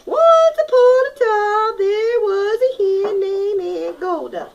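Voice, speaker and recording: A woman's voice: very high voice, slow pace, creaky, a little mellow, highs cut off, a little hiss or hum, voice pushed up, heavily acted. A woman voicing an eager, bookish hen storyteller with a clucking, enthusiastic manner for a storybook. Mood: inspired.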